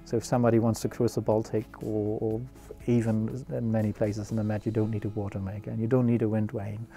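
A man's voice: on the low side, flat delivery, quick pace, dark tone, faint music behind. A middle-aged man with a German accent, talking casually about sailboats with many fillers and restarts. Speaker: yacht builder CEO. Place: outdoors on a sailboat cockpit.

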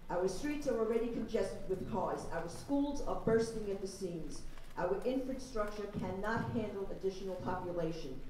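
A woman's voice: mid-range voice, mellow. A woman giving an emphatic prepared public comment as a resident, heard from across a large gymnasium. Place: large school-style gymnasium, public meeting. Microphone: handheld PA heard from far camera. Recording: very echoey gymnasium, distant, audience noise.

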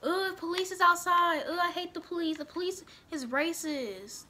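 Young female gamer, bossy and foul-mouthed, high and piercing. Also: normal speed, bright, forceful tone. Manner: bickering, bossy, swears a lot. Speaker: gaming vlogger. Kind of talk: casual gameplay commentary.

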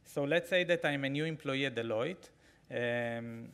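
A man in his forties with an Israeli accent, giving a keynote talk with a live demo from a podium microphone, halting with frequent fillers and restarts. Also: medium pitch, fairly bright tone, clean tone. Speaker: conference keynote speaker. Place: large conference hall, at a podium.

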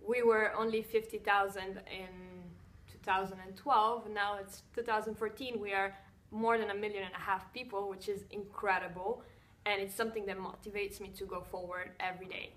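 A young woman from Switzerland speaks at an ordinary middle pitch. She answers fan questions to the camera in a composed, measured way, pausing now and then to find a word. She sits in a bright room, a few feet from the camera. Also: level tone. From Italian-speaking Switzerland. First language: Italian and Russian. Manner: composed, measured, slight non-native phrasing with filler pauses. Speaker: blogger and TV presenter. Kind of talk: direct-to-camera Q&A. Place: bright indoor room with wooden floor, seated in chair. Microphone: camera a few feet away.

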